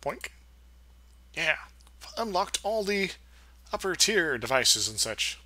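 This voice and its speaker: A young man hosting a modded game let's play, chatting casually and playfully as he plays, often pausing to hunt for words. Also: a little high, big pitch swings, pushed and bright, a little hiss or hum. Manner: rambling, playful, fumbles for words. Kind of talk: casual gameplay commentary.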